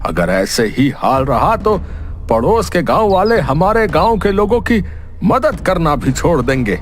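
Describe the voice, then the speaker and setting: A man's voice: a little high, very animated, unhurried, faint music behind. A man playing an angry village headman who scolds and commands in a stern way. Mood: scolding.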